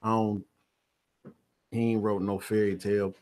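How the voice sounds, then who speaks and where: An American man speaks as a guest on a talk show in a fairly low voice. He is casual and plain-spoken, using everyday colloquial phrasing. He repeats himself for emphasis. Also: level tone, measured pace, a little mellow. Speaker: stage play actor, interview guest. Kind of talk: casual chat.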